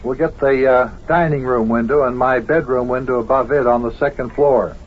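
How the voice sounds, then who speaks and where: A man's voice: medium pitch, steady tone, normal speed, lightly acted. An actor playing a good-natured, patient man of the house in an old-time radio show, speaking plainly and mildly. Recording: noisy, dull old radio recording.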